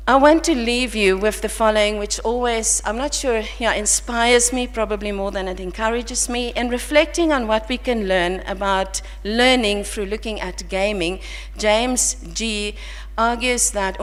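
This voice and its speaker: A South African woman giving an earnest, reflective academic conference talk at a podium mic, with some restarts. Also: medium pitch. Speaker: conference panel speaker. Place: large conference hall. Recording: long hall reverb.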